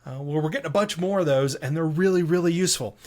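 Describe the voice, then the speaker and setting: A man's voice: a little high, fast talker. A man hosting a casual tech podcast, upbeat and chatty, teasing his co-host.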